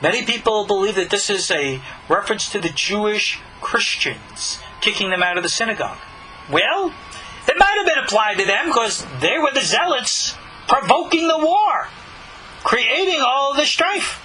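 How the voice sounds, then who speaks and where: Rabbi guy explaining scripture, high bright voice, faint hiss behind. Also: big pitch swings, moderate pace, low-quality recording. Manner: earnest, explanatory, rising emphasis on key words. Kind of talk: recorded Bible study lesson.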